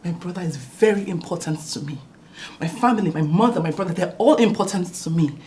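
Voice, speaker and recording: A woman's voice: on the low side, brisk, a little mellow, a little hiss or hum, natural voice. An actress playing a sarcastic sister who lectures and mocks her brother's wife.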